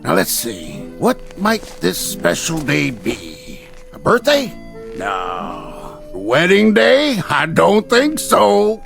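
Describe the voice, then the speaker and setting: A gleefully cruel frontier peddler in a radio drama. His voice is high and very gravelly, swooping through slow, sing-song taunts like a salesman enjoying torment. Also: wildly swooping pitch, over music, full character voice. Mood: gloating, taunting. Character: loud, gleefully cruel peddler in a Western town. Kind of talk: old-time radio drama acting.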